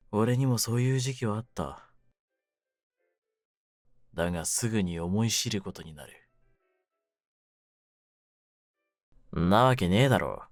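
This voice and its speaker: A man playing a cynical, wounded young mafia kidnapper in an audio drama, with a low voice and rough, brooding delivery. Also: dry recording, studio quality, natural voice. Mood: bitter, irritated. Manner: rough masculine slang, taunting, brooding delivery. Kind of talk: Japanese situation-voice audio drama, ASMR.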